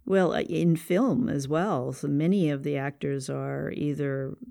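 A man interviewing a guest casually on a podcast, with halting, hesitant phrasing. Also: on the high side, steady tone, vocal fry, very noisy.

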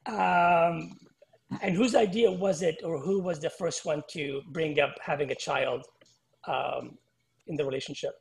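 A man's voice: on the high side, steady tone, unhurried, vocal fry, rough recording. A man hosting a podcast, asking casual interview questions in a loose, chatty way with fillers. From United States. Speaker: podcast host.

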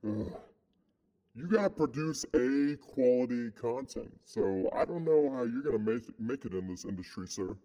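A man playing a cocky, deep-voiced guy in flannel who mocks the host and wants to be his co-host in a comedy sketch. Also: expressive, moderate pace, mellow, lightly acted. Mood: mocking. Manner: blunt, mocking, easily offended.

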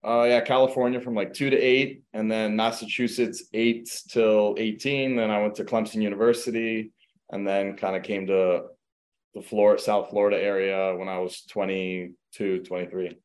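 Low-voiced man telling his story. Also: normal speed. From Croatia, raised in the United States. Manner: relaxed storytelling, many fillers like um and you know. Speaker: guest, luxury real estate agent. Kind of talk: casual interview.

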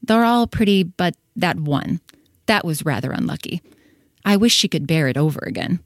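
A woman's voice: fairly low pitch, animated, moderate pace, no room sound, clean studio sound. A woman playing a grand, haughty old duck in a storybook dramatization, blunt and imperious in her manner. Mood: haughty, condescending. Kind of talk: full cast storybook dramatization.

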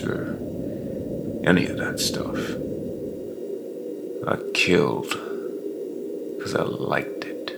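An actor playing a grim, remorseless bounty hunter and war veteran in a dark fantasy audio drama, confessing in a breathy, half-whispered rasp with a brooding, folksy drawl. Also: male voice, extremely low pitch, heavy background noise, full character voice. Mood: bitter, weary.

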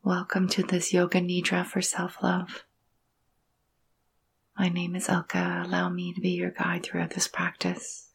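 A woman leading a guided meditation in a soft, breathy, husky voice, gentle and soothing, with long pauses between phrases. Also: fairly low pitch, completely flat delivery. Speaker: yoga nidra meditation guide.

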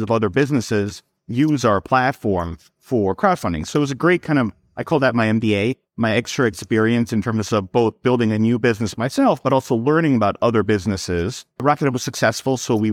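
A man's voice: lowish voice, no echo. A middle-aged man, a company founder born in Serbia and raised in New York, answering interview questions fluently but often repeating words as he goes. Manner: fluent storytelling with frequent word repeats.

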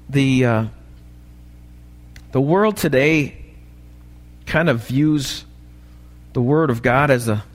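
A male pastor with an American accent and a slightly raspy voice, preaching a sermon and often checking in with short questions to the congregation. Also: mid-range voice. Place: church, preaching live. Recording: live church recording, long hall reverb.

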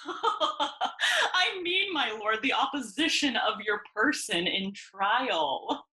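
A woman's voice: on the high side, big pitch swings, very slow, bright, forceful tone, full character voice. A woman playing a foppish, fawning courtier in a Shakespeare audio play, with gushing, affected, flowery delivery. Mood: pompous, eager. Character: Osric, foppish, fawning, giggly royal courtier.

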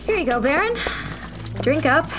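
A woman playing a fretful, sharp-tongued young rebel agent in a sci-fi audio drama. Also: high-pitched, lively intonation, moderate pace, music in the background, low-quality recording. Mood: grudging.

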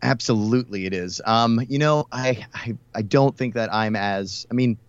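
A man's voice: medium pitch, moderate pace, clean tone, no room sound, muffled recording. A man from Houston, a country radio host being interviewed, talking in a friendly, rambling way with many fillers. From Houston, Texas. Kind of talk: casual interview chat.

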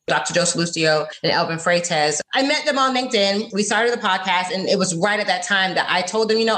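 Woman in her forties, earnest, lively, laughs easily, slightly low voice. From South Florida. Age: 40s. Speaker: guest, college professor. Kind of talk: podcast interview.

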